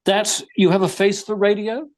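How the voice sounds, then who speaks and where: A man playing a vain gentleman detective, speaking in a pompous, formal, drily witty way. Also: high voice, flat delivery, normal speed, lightly acted. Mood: pompous, dry.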